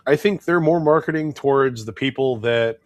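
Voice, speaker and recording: A man's voice: medium pitch, normal speed, no echo. A man co-hosting a casual hobby chat, sounding plugged up from a head cold and rambling with many fillers and restarts. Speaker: co-host. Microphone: wireless clip-on mic.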